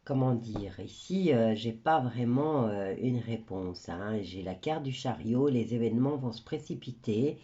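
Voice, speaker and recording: Slow mellow woman with a stuffy cold. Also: rumbling low, animated, muffled recording. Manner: chatty and rambling, many hesitations. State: stuffy nose from a cold, coughing. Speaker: tarot card reader. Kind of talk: casual tarot reading to viewers.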